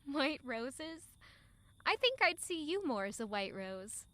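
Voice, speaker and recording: A sweet, high-born young lady of an audio drama, acted by a woman. Her voice is very high and bright, with lively swings. She speaks earnestly and expressively, taking her time, and turns anxious or indignant readily. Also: slow, full character voice. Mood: shy, curious.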